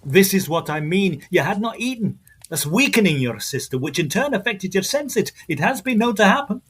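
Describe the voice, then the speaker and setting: An actor playing an incredulous, rational doctor in a period audio drama, formal and emphatic, with a husky voice. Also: male voice, sits high, very animated, normal speed, fairly bright tone, exaggerated voice. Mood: skeptical, insistent. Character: incredulous, rational doctor arguing with his patient.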